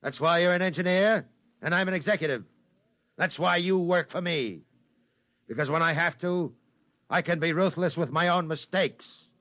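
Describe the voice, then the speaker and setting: An actor playing a cold, ruthless executive in a 1950s radio drama, curt and domineering. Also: male voice, a little high, normal speed, pushed and bright, no room sound.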